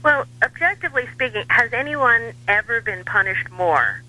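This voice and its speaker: An American man giving political commentary as a radio guest, wry and dryly humorous, with measured hesitations. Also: very high-pitched, animated, pushed and bright, poor audio. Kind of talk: talk radio interview.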